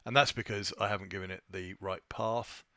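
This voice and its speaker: A man presenting an informal software tutorial, thinking aloud in a somewhat hesitant way as he demonstrates. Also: sits low, fairly even intonation, a little fast, no echo, clean studio sound. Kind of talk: informal screen-share walkthrough.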